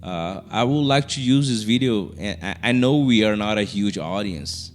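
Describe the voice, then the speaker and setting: A man's voice: lowish voice, lively intonation. A young man from Brazil with a Brazilian Portuguese accent, speaking English as a second language. He talks casually to camera and often restarts his sentences. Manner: halting, many restarts and fillers. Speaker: YouTuber. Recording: soft music underneath.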